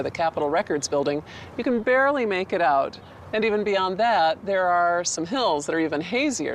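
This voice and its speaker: A woman's voice: fairly low pitch, noisy background. A woman giving a plain-worded, hands-on explanation as an expert presenter. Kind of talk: explanatory demonstration to camera.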